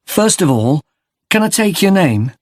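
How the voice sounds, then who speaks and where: A man's voice: sits high, big pitch swings, measured pace, no room sound, clean studio sound, heavily acted. A man playing a polite, sympathetic street interviewer asking transport survey questions in a scripted listening-test dialogue.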